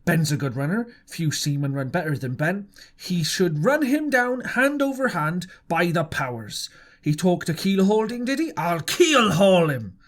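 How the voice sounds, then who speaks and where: Man playing a hearty one-legged old ship's cook, loud and coaxing, bouncy lively delivery. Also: high voice, measured pace. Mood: excited. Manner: boisterous, wheedling, old sailor slang.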